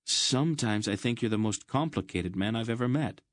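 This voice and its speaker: A man's voice: medium pitch, expressive, moderate pace, no echo, clean studio sound, voice pushed up, natural voice. A man voicing an earnest, slightly awkward young man talking with a knight.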